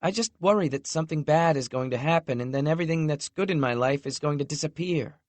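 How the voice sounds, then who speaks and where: A man's voice: fast talker, dry recording, close to an everyday voice. A man reading an audiobook, voicing a vulnerable, emotional woman who worries about losing the people she loves. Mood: tearful. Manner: wobbly, raised pitch, soft.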